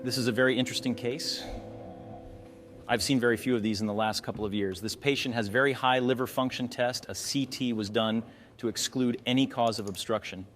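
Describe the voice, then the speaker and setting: A man's voice: medium pitch, steady tone, fairly quick, a bit bright, faint music behind. A man narrating an explanatory case walkthrough as a radiology instructor, posing questions to the viewer as he teaches. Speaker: radiology instructor presenting cases.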